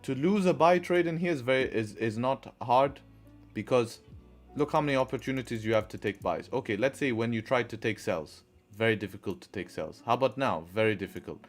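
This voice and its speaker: A man's voice: mid-range voice, brisk, faint music behind. A man hosting a live trading stream, talking through charts and answering chat with repeated rhetorical questions, with a mixed, partly Arabic-sounding accent. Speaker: trading livestream host.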